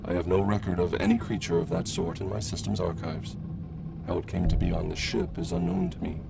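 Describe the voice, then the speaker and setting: Deep, gravelly ship's computer. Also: male voice, very noisy. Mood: calm, formal. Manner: formal, measured, precise, deadpan. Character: calm, formal male ship's computer AI, helpful and unhurried. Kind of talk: sci-fi radio drama acting. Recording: music bed under the voice.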